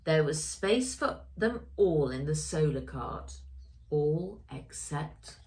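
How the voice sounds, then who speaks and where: A woman reads a children's story aloud as its author. She goes slowly, with a lowish voice and very lively intonation that rises and dips. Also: slight background noise. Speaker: author reading own story.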